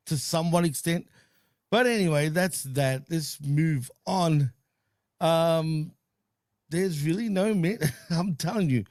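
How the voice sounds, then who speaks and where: A man's voice: a little high, darkish tone, no room sound, studio quality. A man hosting a casual wrestling podcast, laid-back, laughing often and hedging with fillers. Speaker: podcast host.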